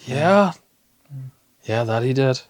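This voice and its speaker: A man voicing an old male British punk-scene mate who passes on gossip in a hushed, conspiratorial way. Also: mid-range voice, animated, takes it slowly, no room sound. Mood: gossipy.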